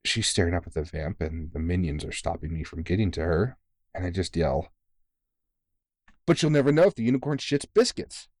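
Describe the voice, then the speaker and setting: A low-pitched man performing as a battle-worn vampire hunter in an ASMR audio roleplay. He is tired but kind, reassuring a rookie with teasing, informal, sweary talk. The sound is dry, with very little room to it. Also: expressive, fairly quick, darkish tone, dry recording. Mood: animated storytelling. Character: tired, kindly veteran male vampire hunter mentoring a rookie.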